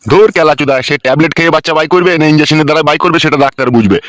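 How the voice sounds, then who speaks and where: Man as a crafty cartoon village uncle, bossy, hectoring, rapid-fire, dry close sound. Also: a little high, a bit bright, no room sound, natural voice. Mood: brash, exasperated. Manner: scolding, boastful, hectoring. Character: bossy, crafty, foul-mouthed village uncle and power broker. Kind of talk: amateur comic cartoon dialogue.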